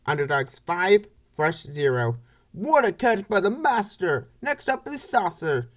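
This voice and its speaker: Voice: medium pitch, sing-song, measured pace, a bit bright. A baseball play-by-play announcer reading scripted commentary for a comic dub in short, clipped phrases.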